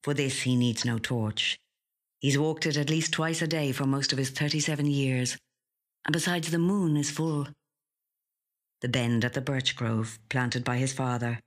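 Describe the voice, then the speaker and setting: An actor with a slightly husky, breathy voice reading a literary short story in a measured, hushed way. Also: medium pitch, steady tone. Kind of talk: scripted literary narration.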